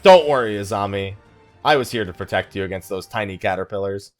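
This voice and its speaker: A man's voice: lowish voice, a little fast, faint music behind. A man hosting a gaming let's play, chatting casually in a rambling, joking way with mock exasperation and self-mockery. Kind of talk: casual chatty game commentary.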